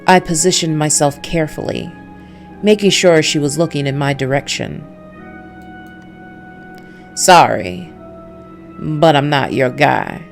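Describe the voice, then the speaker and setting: A woman voicing a weary, wary male noir antihero, narrating a visual novel in a brooding, measured way. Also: low-pitched, gravelly, faint music behind. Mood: wary, resolute.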